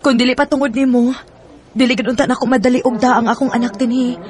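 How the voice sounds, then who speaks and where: A woman's voice: on the high side, steady tone, quick pace, darkish tone, close to an everyday voice. A woman acting a tender, long-suffering young mother in a Bisaya radio drama, with a Cebuano accent. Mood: worried, grateful.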